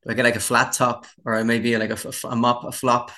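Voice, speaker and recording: A man's voice: mid-range voice, level tone, a little fast, sharp tone. An Irish man in his forties, a musician chatting casually in an interview with a wry, self-deprecating manner. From Ireland. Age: 40s.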